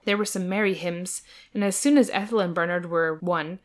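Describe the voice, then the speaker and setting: A woman's voice: fairly low pitch, steady tone, normal speed. A woman co-hosting a podcast, reading an old novel aloud as an amused, dramatic narrator.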